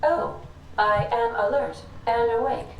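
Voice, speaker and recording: An actress playing a cheerful female ship computer with a chipper, bright manner. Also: a little high, huge pitch swings, unhurried, distant mic, dull audio, background noise, exaggerated voice. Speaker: ship's AI companion. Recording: echoey game audio from afar.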